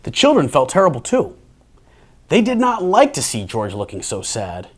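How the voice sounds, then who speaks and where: A man reading a children's picture book aloud, playful and expressive, dropping into dry, deadpan asides. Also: a little high, moderate pace, slightly rough. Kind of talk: animated storybook reading with wry asides.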